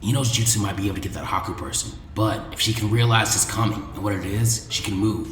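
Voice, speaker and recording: A man voicing a lazy, cautious teenage boy ninja who mutters in a low-key, exasperated way in a fan fiction audiobook. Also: steady tone, quick pace, roomy sound, long reverb tail, faint music behind, lightly acted. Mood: calculating.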